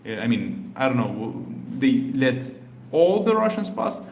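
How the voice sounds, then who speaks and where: Man, from Algeria, reaction host, halting and filler-heavy delivery, roomy echo, faint hum behind. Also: mid-range voice, sing-song. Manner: halting, many fillers and restarts. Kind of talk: casual commentary on a video.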